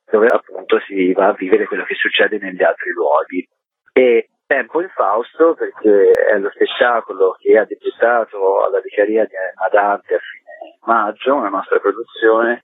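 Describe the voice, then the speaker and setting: A man being interviewed by phone on the radio as a festival director, explaining in a discursive way with pauses mid-phrase. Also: fairly low pitch, slightly rough, no room sound. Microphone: telephone call to radio. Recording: thin, phone-like narrow-band line.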